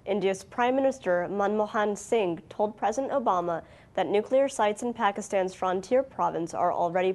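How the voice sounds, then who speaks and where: A woman news presenter with a medium-pitched voice reads from a script. Her delivery is formal and clearly worded, in the style of a news bulletin. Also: darkish tone.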